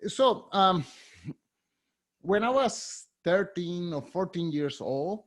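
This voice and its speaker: Higher-voiced man in his fifties with a Spanish accent. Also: lively intonation. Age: 50s. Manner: curious, candid, with a slightly halting delivery. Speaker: podcast host. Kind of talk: interview questions.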